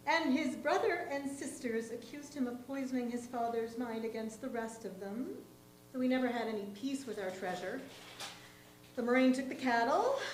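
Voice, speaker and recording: An embattled, unhinged farm wife, acted by a woman confides her ruin in a theatrical monologue. Her fairly high voice lingers on words with emphasis, in a very echoey room. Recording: echoey room with faint humming noise.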